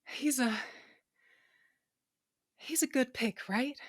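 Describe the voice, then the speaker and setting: Actress as dying female fighter, slow halting delivery, weak breath, defiant, blunt, loving, close dry audio drama sound. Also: sits high, dry recording. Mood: weak, tender defiance. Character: dying foul-mouthed warrior sister, defiant and loving.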